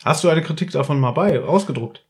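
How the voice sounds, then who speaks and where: A man's voice: fairly high pitch, expressive, fairly quick. A man chatting casually as a podcast host, with a teasing manner. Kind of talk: casual chat.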